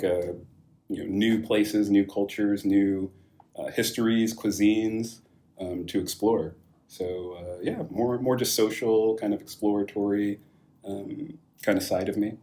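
A man's voice: fairly low pitch, fairly even intonation, measured pace, warm tone. A young man from California, answering interview questions in a casual, thoughtful way, with many fillers and restarts and light laughs. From California, USA. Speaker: guest, wine company founder.

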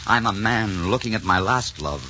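Man acting a low-voiced, suave romantic nobleman. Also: lively intonation, normal speed, noisy background, natural voice. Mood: tender, romantic. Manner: courtly, romantic, theatrical. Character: suave, ardent French nobleman lover, secretly a barber. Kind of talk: 1940s romantic radio drama acting. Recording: muffled old 1940s radio recording.

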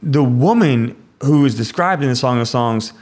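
A man of middle pitch, a priest, explains scripture in a loose, conversational way. He restarts his sentences often and drops in casual "like" asides as he builds his point. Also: lively intonation, normal speed, darkish tone.

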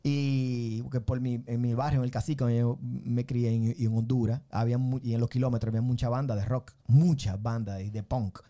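A middle-aged man with a Dominican accent, a filmmaker and musician telling his story as a guest, animated and often checking that he is understood. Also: medium pitch, a little fast, hardly any pauses. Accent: Dominican Spanish. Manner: animated, tag questions, repeats himself. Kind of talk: casual interview storytelling.

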